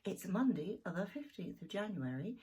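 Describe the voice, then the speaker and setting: A middle-aged woman with a croaky, gravelly voice, chatting homely through a weekly tarot card reading. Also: medium pitch, big pitch swings, moderate pace, dark tone. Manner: chatty, homely, with fillers like "you know". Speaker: tarot reader.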